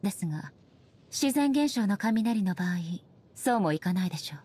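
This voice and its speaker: Breathy, solemn shogun goddess. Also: female voice, mid-range voice, lively intonation, dark tone. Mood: solemn, measured. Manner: formal, measured, polite, solemn. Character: solemn, dignified ageless shogun goddess, stern yet softly sincere. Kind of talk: scripted game character voice lines.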